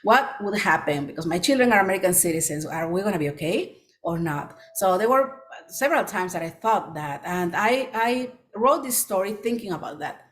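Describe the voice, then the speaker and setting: A middle-aged woman children's author from Peru, with a Peruvian Spanish accent, giving a presentation with many pauses and restarts. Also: mid-range voice, lively intonation, slight background noise. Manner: frequent ums and restarts, earnest. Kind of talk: presentation talk.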